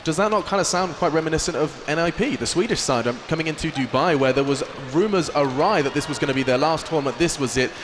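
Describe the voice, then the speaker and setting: A man hosting a live esports analyst desk, brisk and polished in a presenter's manner. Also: a little high, noisy background.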